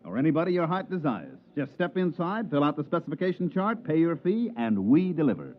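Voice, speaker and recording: A man's voice: a little high, very animated, a little fast. A man playing a brash, slangy American con man and promoter in a 1950s radio drama, who also narrates the tale. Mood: smooth salesman. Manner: slangy, smooth-talking salesman patter. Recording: muffled 1950s radio recording with music.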